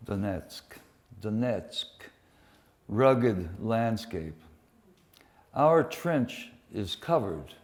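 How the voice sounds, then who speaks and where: A man reads poetry to a live gathering at a very unhurried pace. His phrasing is deliberate and dramatic, with weighty pauses, and he shifts into informal introductions in the same measured way. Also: on the low side, darkish tone. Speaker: poetry reader and presenter. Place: public poetry reading at a museum.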